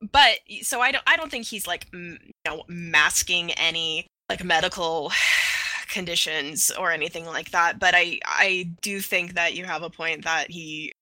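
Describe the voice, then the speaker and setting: A woman in a casual podcast discussion, talking in a halting way and often repeating her words as she works out a point. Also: lowish voice, bright, forceful tone, no echo, rough recording. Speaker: podcast panelist. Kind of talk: casual animated-show discussion.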